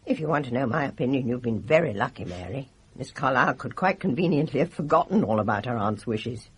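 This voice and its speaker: An actress playing a brisk, gossipy, plain-spoken middle-aged nurse in a British radio mystery drama. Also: female voice, very deep voice, expressive, slightly rough, full character voice. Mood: opinionated.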